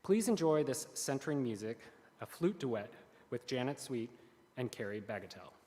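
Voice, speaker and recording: A man's voice: mid-range voice, lively intonation, moderate pace, mellow. A man reading the offering announcements at a church service in an earnest, careful way, with small slips. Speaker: worship service lay leader. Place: church sanctuary. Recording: long hall reverb.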